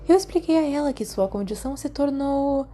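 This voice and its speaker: A woman's voice: sits high, lively intonation, fairly quick, mellow, faint music behind, pitched above the performer's own voice. A woman voicing a kindly, caring female school nurse in a storybook, motherly and attentive, with a Brazilian accent.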